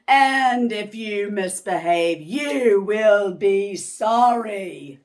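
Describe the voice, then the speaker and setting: A mean, witchy schoolmistress from a children's storybook, acted out by a woman. She snaps out orders slowly and forcefully, with big swings in pitch. She is strict and commanding. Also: animated. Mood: stern, bossy. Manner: snapping, commanding.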